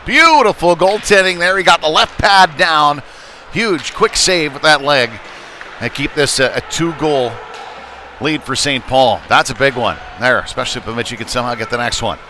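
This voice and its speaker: A man doing live hockey play-by-play in a conversational, folksy way with hesitant pauses. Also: on the high side, pushed and bright. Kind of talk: live sports commentary. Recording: noisy indoor rink ambience.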